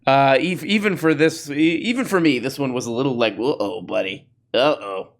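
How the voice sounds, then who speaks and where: Raspy-voiced man mocking clips. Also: medium pitch, normal speed. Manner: mocking, rambling, self-interrupting. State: losing his voice. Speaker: host. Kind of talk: comedic commentary on clips.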